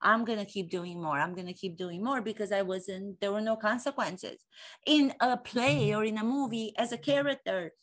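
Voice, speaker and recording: A woman's voice: medium pitch, fairly bright tone. A woman in her forties with a Romanian accent, an acting coach giving a fervent monologue full of rhetorical questions and repeated phrases. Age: 40s. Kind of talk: impassioned monologue to camera.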